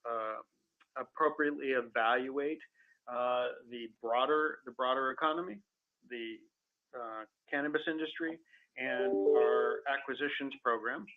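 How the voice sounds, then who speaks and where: A man speaking as a company executive on an earnings call, answering in a halting, careful way with many repeated words, over a phone line. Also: medium pitch. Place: conference call. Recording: tinny telephone conference line.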